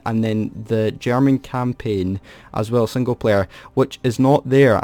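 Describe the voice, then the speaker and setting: A man's voice: medium pitch, a little mellow. Rambling man venting about a game. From United Kingdom. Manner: rambling, frequent restarts and self-corrections; complaining, aggrieved. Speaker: gaming video host. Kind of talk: unscripted gaming commentary to camera.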